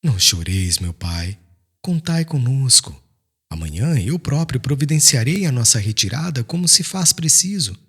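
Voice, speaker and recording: Casting: male reader as devout young man, tender and pleading, higher voice with wide swoops, audiobook drama. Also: sing-song, normal speed, slightly rough, heavily acted. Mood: tender, reassuring. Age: young adult. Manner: gentle, earnest, formal pleading.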